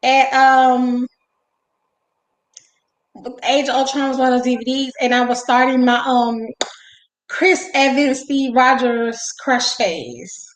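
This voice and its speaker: A young woman with a raspy voice, hosting a casual livestream chat from home on a video call and reading out viewer comments. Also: high-pitched, takes it slowly, pauses often, roomy sound, poor audio. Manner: chatty, reads viewer comments aloud. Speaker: livestream host.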